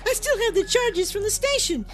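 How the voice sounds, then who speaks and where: A man voicing an excitable young female reptilian alien in a shrill falsetto, in a comic space cartoon. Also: moderate pace. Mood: defiant. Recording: loud backing music behind voice.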